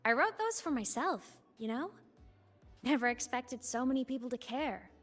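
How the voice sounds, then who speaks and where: A high, youthful female voice for a game heroine, an earnest and wistful student dreamer. She speaks with heartfelt feeling in long hall reverb, with music behind her. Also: expressive, normal speed. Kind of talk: emotive video game story acting.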